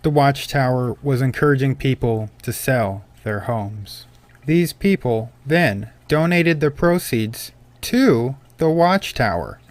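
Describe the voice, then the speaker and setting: A man reading scripted critical narration in a measured, declarative way. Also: medium pitch.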